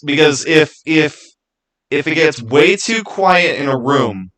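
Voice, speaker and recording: A man's voice: fairly high pitch, pushed and bright, poor audio. A man chatting casually to viewers on a livestream, rambling and repeating words for emphasis. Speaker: YouTube creator and livestream host. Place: small home studio, indoors.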